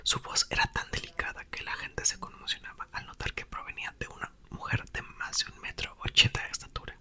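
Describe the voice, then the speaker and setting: A man reads a story aloud in a breathy, intimate ASMR whisper, with soft and expressive narration. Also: brisk. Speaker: ASMR creator reading a book aloud.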